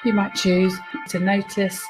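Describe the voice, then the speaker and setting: A woman guides listeners through a relaxation meditation. She gives soothing instructions in a mid-range voice, leaving pauses between them. Music plays behind her. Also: medium pitch, little pitch movement.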